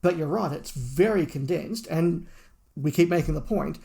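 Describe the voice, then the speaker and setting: A man's voice: on the high side, moderate pace, vocal fry, mellow. A middle-aged man talking at length and with emphasis as a podcast co-host, in a casual review discussion.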